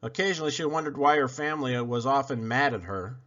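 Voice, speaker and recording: A man narrating a children's storybook aloud in an expressive read-aloud style. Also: medium pitch, fast talker, muffled recording. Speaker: storybook reader.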